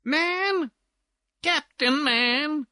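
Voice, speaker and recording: Man acting a society lady, high-pitched, slow drawn-out gushing, affected, coy, storybook gossip. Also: sharp tone, no room sound, studio quality, full character voice. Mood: gossipy, gushing. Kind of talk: theatrical storybook character voice.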